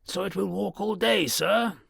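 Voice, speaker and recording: A man doing a frightened old gravelly butler. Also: high-pitched, unhurried, fairly bright tone, no room sound, clean studio sound, pitched above the performer's own voice, exaggerated voice. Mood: fearful. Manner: anxious, earnest, dread-filled. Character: old male butler, fearful and hushed. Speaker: servant in a story.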